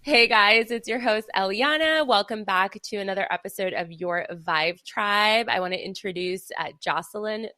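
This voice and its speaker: A woman's voice: medium pitch, moderate pace, hardly any pauses, bright, forceful tone. A woman hosting a podcast, chatting casually and giggling often, with lots of fillers. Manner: bubbly, giggly, filler-heavy. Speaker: podcast host. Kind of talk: casual interview chat.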